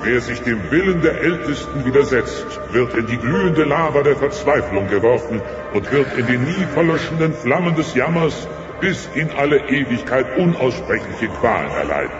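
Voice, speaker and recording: An actor playing a stern old dinosaur monk who proclaims solemnly and pompously in a children's audio play. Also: male voice, medium pitch, sing-song, normal speed, close to an everyday voice. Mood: ominous. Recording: muffled audio in a long echoey reverb, loud music.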